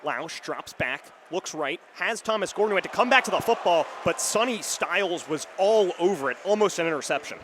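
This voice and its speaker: A man's voice: high voice, animated, a little fast, few pauses, fairly bright tone. A young man doing live radio play-by-play commentary of an American football game. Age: young adult. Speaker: radio play-by-play sports announcer. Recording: live stadium booth, clear background noise. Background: stadium crowd and outdoor ambience.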